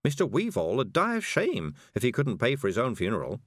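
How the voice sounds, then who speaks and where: A man voicing a middle-aged woman witch who speaks slowly, calmly and patiently. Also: medium pitch, dry recording, clean studio sound. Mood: measured. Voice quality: slow, measured, patient.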